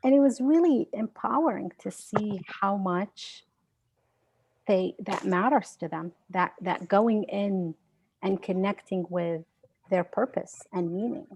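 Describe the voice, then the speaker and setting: A woman's voice: mid-range voice, slow, a little mellow, slight background noise, poor audio. A woman speaking as a guest science educator in an online seminar, earnest and conversational, with frequent restarts.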